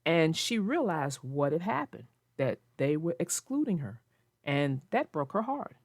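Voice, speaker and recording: A woman narrating a children's book aloud and commenting on it, with expressive, emphatic, deliberate phrasing. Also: sits low, no echo. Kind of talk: expressive storybook read-aloud with informal commentary.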